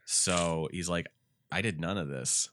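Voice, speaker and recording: A man with a congested voice, chatting casually about games as a podcast co-host and rambling through animated retellings. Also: deep voice, measured pace, slight background noise. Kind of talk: casual gaming chat.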